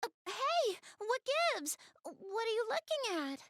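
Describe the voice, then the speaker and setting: A woman's voice: very high-pitched, big pitch swings, a little slow, fairly bright tone, dry recording, clean studio sound, full character voice. A woman voicing a shy, bookish young goddess in a video game, speaking hesitantly and earnestly. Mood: flustered, indignant. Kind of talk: video game character voice acting, intimate one-to-one dialogue.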